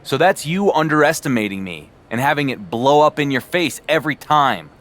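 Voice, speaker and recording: A man's voice: on the high side, lively intonation, normal speed, fairly bright tone. A man playing a cocky, cool-headed wrestling champion in a game cutscene, with a dry, cutting manner.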